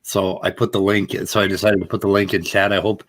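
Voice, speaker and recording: A man with a lowish voice hosts a live stream. He talks over a debate clip, casual and blunt, and gives his opinions freely. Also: flat delivery, quick pace. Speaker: host.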